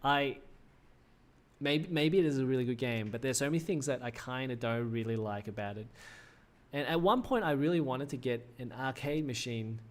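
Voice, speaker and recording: Male streamer, 30s, mid-pitched, chatty and rambling, voice slightly worn. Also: normal speed. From Australia. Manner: rambling, self-correcting, frequent "uh" fillers. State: slightly losing his voice. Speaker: livestream host. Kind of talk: casual livestream commentary.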